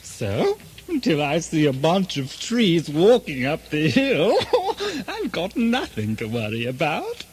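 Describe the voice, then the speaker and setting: A man's voice: on the high side, huge pitch swings, slow, heavily acted. A man playing a cocky, foolhardy, chuckling king in a comic radio drama, theatrical and taunting. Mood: amused disbelief. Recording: slightly noisy old radio drama recording.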